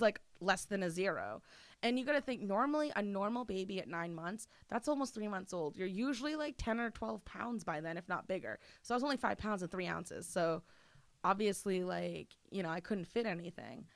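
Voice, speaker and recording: A talkative woman in her thirties from Maine tells stories in a loose, casual way. She fills her speech with "like" and swears freely. Her voice sits at an average pitch, recorded close and dry with no room echo. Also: normal speed. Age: 30s. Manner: chatty storyteller, swears freely, lots of "like". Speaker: interview guest. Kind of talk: casual chat.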